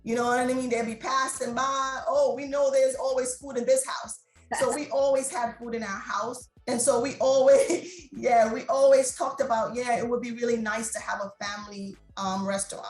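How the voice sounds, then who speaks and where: A woman's voice: fairly high pitch, fairly even intonation, normal speed, echoey room, poor audio. A middle-aged woman from Jamaica, a restaurant owner, talking in an interview in a chatty way and laughing often. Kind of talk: interview conversation.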